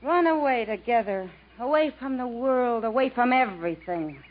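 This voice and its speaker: A woman's voice: on the high side, lively intonation, normal speed. An actress playing a desperate, embittered woman stranded in the jungle, melodramatic in the manner of 1950s radio drama. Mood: bitter reproach. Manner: melodramatic, pleading, accusing. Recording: dull, noisy 1950s radio recording.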